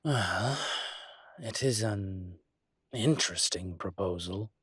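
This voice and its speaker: A man playing a suave, teasing dragon mafia boss in a scripted romantic audio roleplay, speaking deliberately. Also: lowish voice, breathy edge, no room sound. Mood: businesslike, curious.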